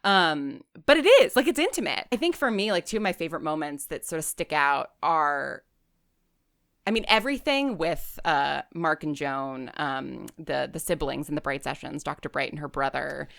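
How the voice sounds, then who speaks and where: A woman speaking as a guest in a casual, reflective podcast interview, effusive and halting, with emphatic repetition. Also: sits low, animated, vocal fry, a bit bright, no echo, studio quality. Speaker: interview guest, audio fiction writer and director.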